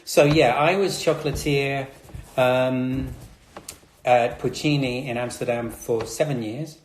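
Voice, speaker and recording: A man's voice: mid-range voice, fairly even intonation, slow pace, slight background noise. Chatty man in an echoey room. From Britain. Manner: chatty, nervous, many fillers. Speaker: chocolatier host. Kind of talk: casual live chat. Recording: roomy echo.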